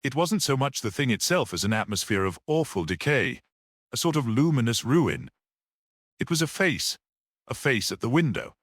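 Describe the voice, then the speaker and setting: A man's voice: mid-range voice, very animated, normal speed, fairly bright tone, no room sound, clean studio sound. A man voicing a nervy, dreamy woman who sees ghosts, in an uneasy, dramatic manner, for a story reading. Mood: uneasy, haunted.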